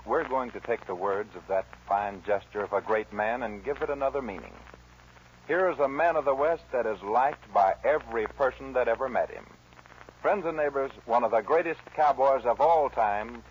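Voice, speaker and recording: A man's voice: medium pitch, moderate pace. 1940s radio announcer man, dull noisy recording.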